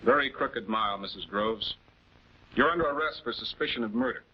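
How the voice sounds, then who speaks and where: An actor playing a composed, methodical male prosecutor, speaking with deliberate authority in a 1940s television crime drama. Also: fairly low pitch, slight background noise, lightly acted. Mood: explaining, firm. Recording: dull 1940s film soundtrack.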